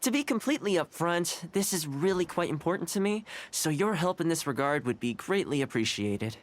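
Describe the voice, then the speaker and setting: An actor playing a courteous, shrewd young male trade adviser in a video game, speaking in a polite, articulate, measured way. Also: on the high side, sing-song. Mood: earnest. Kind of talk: scripted game character dialogue. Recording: music behind the voice.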